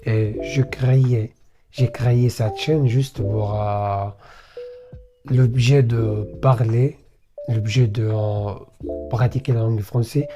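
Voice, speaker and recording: A young Egyptian man whose first language is Arabic, speaking French with an Arabic accent in an unscripted vlog, full of pauses and self-corrections. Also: mid-range voice, steady tone, over music. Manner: hesitant, many fillers and self-corrections. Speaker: vlogger learning French. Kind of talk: unscripted casual monologue to camera.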